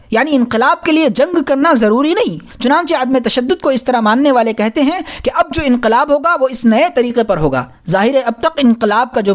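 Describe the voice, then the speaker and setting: A woman reading a scholarly religious audiobook in a measured, formal manner. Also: a little high. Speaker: audiobook reader. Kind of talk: scripted narration of a scholarly religious book.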